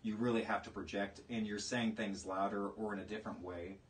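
A man in his thirties hosting a relaxed, conversational interview in a small room, picked up by a distant mic. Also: lowish voice, brisk. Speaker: podcast host and writer. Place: small indoor room, seated in armchairs. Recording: distant room mic, audible background noise.

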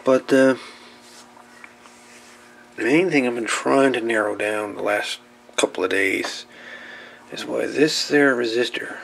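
A man giving casual, unscripted narration at a workbench in a halting, muttering way as he works. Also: medium pitch, vocal fry, fairly bright tone. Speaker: hobbyist host of radio repair videos. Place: indoor workbench. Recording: noisy home-camera audio with clicks.

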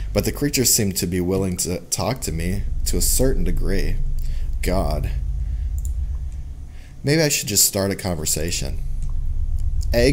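Low-voiced young man, casual gaming commentary. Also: slight background noise. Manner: goofy, informal. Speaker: gaming video host. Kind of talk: casual let's play commentary. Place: indoor room at a gaming setup.

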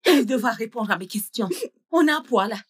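A woman's voice: fairly high pitch, expressive, brisk, breathy edge. An actress dubbing a fierce, protective middle-aged mother who scolds in indignant outbursts. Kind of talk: dubbed film acting.

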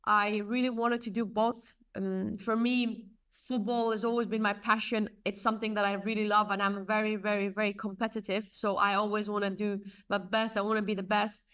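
Earnest woman guest, thirties, smooth tone, video call from home, slight distance. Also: mid-range voice, normal speed, few pauses. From Afghanistan. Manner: earnest, animated storytelling. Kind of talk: conversational interview answers. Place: home room, indoors.

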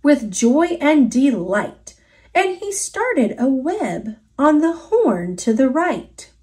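High, expressive American woman reading slowly. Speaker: storybook narrator. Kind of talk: children's read-aloud of rhyming story.

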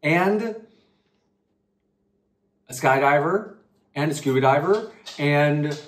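A man's voice: fairly high pitch, slow. A young adult man chatting casually at a table at home, recorded by a camera across the table. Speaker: producer and actor. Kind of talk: casual chat. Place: home dining room, at a table. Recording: distant, echoey room, faint music.

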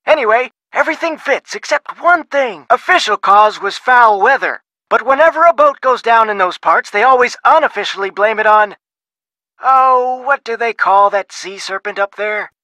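Cranky folksy shipwreck researcher, bright sharp tone, dry sound. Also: male voice, extremely high pitch, lively intonation, normal speed, dry recording, full character voice. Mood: gruff. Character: gruff folksy older male shipwreck researcher. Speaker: phone contact in a game.

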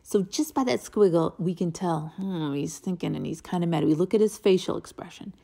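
A woman's voice: lowish voice, brisk, vocal fry, dark tone. An American woman teaching young children through a read-aloud and drawing lesson, with encouraging, chatty asides. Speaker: teacher reading to a kindergarten class.

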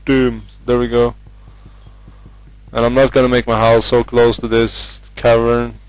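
A man's voice: medium pitch, monotone, a little slow, smooth, dry recording, poor audio. A young man from Norway with a Norwegian accent, casually commentating his game with many hesitant fillers. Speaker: gamer.

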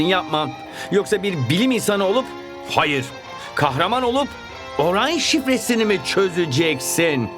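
A young cartoon football hero, earnest and urgent. His high voice rises and falls a lot. Music runs underneath. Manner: urgent, expressive. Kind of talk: animated cartoon dubbing. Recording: music behind the voice.

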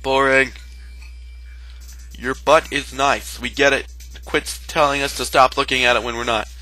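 A man's voice: mid-range voice, steady tone, a little slow, bright, forceful tone, faint music behind, rough recording. A man giving casual, joking game commentary in a sarcastic manner. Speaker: gameplay co-commentator.

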